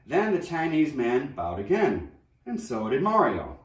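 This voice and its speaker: A man reading a children's novel aloud in an expressive storybook narration style. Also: mid-range voice, a little slow. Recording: roomy echo, distant microphone.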